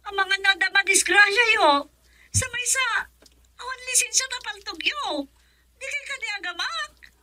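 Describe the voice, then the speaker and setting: Pleading young Filipino wife, radio drama: extremely high, piercing, urgent. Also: female voice, lively intonation, normal speed, bright, forceful tone, heavily acted, rough recording. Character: anxious young wife pleading with her father.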